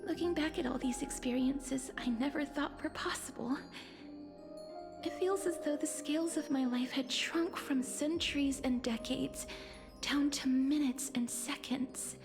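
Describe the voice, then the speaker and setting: Wistful soft breathy game maiden, highish voice, takes her time, music under it. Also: fairly even intonation, measured pace. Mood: wistful, tender. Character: gentle, wistful, soft-spoken young noblewoman, quietly earnest. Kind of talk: video game voice acting, reflective monologue.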